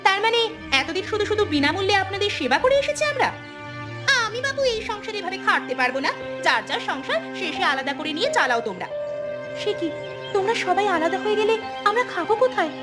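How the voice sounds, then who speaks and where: A woman voicing a sharp-tongued, selfish village aunt in a cartoon, scolding and grumbling. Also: very high-pitched, big pitch swings, fast talker, over loud music. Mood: indignant. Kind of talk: Bengali cartoon dubbing.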